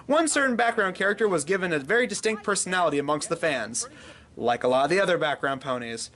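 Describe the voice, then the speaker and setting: A man with a high voice hosts a scripted fan fiction review. He narrates like an online reviewer and drops in wry, joking asides. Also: fairly bright tone.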